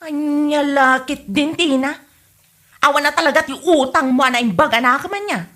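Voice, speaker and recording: A woman's voice: high-pitched, moderate pace, a bit bright. A woman with a Filipino accent playing a resentful, complaining neighbour who holds a grudge in a podcast comedy drama. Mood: indignant. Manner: indignant, complaining.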